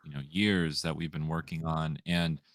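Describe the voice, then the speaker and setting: Deep-voiced young man, dry close sound. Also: flat delivery, moderate pace, no room sound. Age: 20s. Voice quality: deep radio-style voice. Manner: easygoing, meandering sentences. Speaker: comic book writer guest. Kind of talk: casual interview chat.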